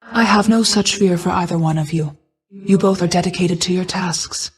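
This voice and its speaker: A woman voicing a stately goddess of night in a video game, a solemn, guarded mother who speaks in a formal, measured, archaic manner. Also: fairly low pitch, close to an everyday voice. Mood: reflective, composed. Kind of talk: scripted game character lines.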